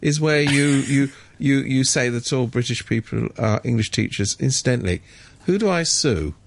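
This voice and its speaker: Gravelly, indignant male radio commentator. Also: medium pitch, normal speed, a little hiss or hum. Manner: indignant, sardonic, many restarts. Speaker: regular commentator. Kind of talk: radio studio discussion.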